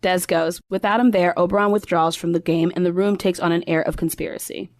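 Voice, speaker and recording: A woman reading stage directions as scripted narration in a measured way. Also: lowish voice, steady tone, darkish tone.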